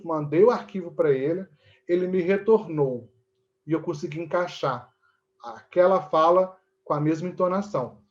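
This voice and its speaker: A man's voice: fairly high pitch, lo-fi sound. A man with a Brazilian Portuguese accent explaining things conversationally as an interview guest, often checking in with little tag questions. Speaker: interview guest, theatre teacher and actor.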